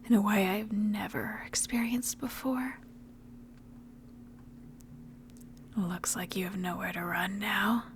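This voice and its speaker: A woman voicing a seductive, predatory, ancient vampire in a breathy, intimate whispered ASMR roleplay. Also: medium pitch, slight background noise. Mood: menacing, controlled. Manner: slow, intimate, menacing endearments.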